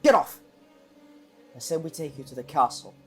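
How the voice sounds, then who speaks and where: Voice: medium pitch, lively intonation, moderate pace, faint music behind, lightly acted. An actor playing an angry, resolute teenage boy wizard in an audio drama. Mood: angry, firm.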